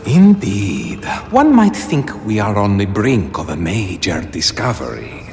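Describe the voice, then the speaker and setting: An actor playing a mysterious, courteous trickster guide in a video game, theatrical and formal with a gravelly edge. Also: male voice, on the low side, big pitch swings, measured pace, a little mellow, a little hiss or hum, heavily acted. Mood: sly, teasing. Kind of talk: video game dramatic acting.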